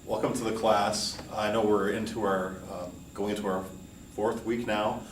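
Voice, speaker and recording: Low-voiced man teaching in an echoey room. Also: level tone, moderate pace. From United States, New York City and Hawaii. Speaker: college instructor. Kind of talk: informal course welcome lecture. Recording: roomy echo, distant mic, faint noise.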